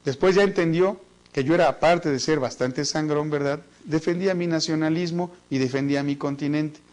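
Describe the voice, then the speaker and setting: Man, Mexican accent, teacher, casual lecture, rather high voice, repeats words. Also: normal speed, a little mellow. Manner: chatty, jokey, repeats words while thinking. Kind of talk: online business class lecture.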